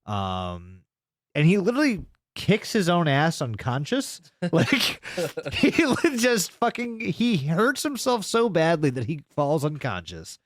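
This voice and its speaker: Animated, sweary man recapping a story. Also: a little high, takes it slowly, dry recording. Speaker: podcast co-host. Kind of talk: casual podcast recap, retelling a video's story.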